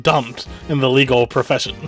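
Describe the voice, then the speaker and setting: A man's voice: mid-range voice, steady tone, normal speed, natural voice. A man voicing a smug, formal prosecutor in a courtroom game, speaking pompously. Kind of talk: voiced visual novel read-through on a game stream. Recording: faint music behind the voice.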